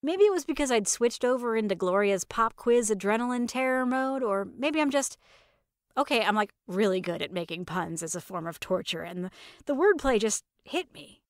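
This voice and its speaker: A woman narrating a first-person story in an expressive, conversational manner. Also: a little high, moderate pace, dry recording, clean studio sound.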